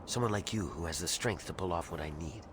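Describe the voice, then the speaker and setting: An actor playing a grim, embittered slave rebel in a video game, gruff and conspiratorial as he schemes for freedom. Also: low-pitched, fast talker, natural voice. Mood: grim, determined. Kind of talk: video game character dialogue. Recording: clear ambient noise behind voice.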